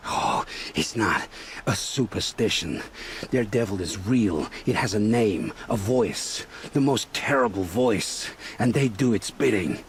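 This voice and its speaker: A fearful informant, noise behind him. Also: male voice, fairly low pitch, expressive, measured pace. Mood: awed dread. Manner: dramatic, fearful, vivid storyteller. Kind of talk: video game cutscene acting.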